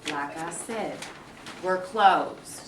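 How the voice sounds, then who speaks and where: A woman reading the part of a gruff middle-aged diner owner in a staged play reading, flat and dismissive. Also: on the low side, drawn-out words, a little hiss or hum. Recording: echoey room, distant mic.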